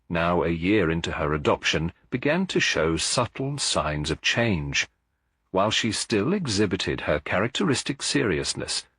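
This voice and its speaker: Male narrator for mystery audiobook: low, slightly husky, measured storytelling. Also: a bit bright, no room sound.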